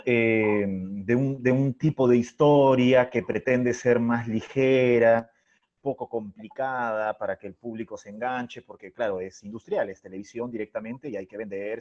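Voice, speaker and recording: A man with a Peruvian accent answering as an interview guest, articulate and discursive, thinking aloud with pauses in a reflective way. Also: mid-range voice, a little mellow, muffled recording. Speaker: interview guest, radio drama creator.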